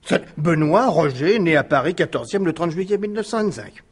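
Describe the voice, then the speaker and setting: A man's voice: a little high, expressive, a little fast, slight background noise, close to an everyday voice. An actor playing an eager police deputy in a French radio crime drama, savouring his findings with hesitant, slightly stammered build-ups. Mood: revealing.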